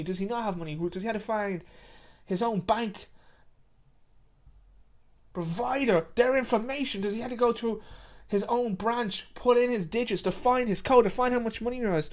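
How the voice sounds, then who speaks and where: Emphatic storyteller with a mid-range voice. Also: mid-range voice. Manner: emphatic, repetitive, stumbling over words. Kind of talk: dramatic short story narration.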